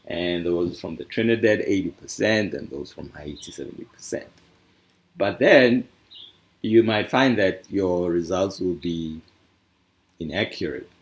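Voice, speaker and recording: A man from Africa giving an informal explanatory talk in a halting, reflective way, often posing questions and answering them. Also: deep voice. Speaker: speaker presenting a discussion.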